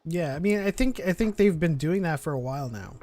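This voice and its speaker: Casual podcast host, mid-pitched voice. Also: lively intonation, brisk, mellow, dry recording, soft background music. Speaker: podcast host reading viewer chat. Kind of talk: casual fan discussion.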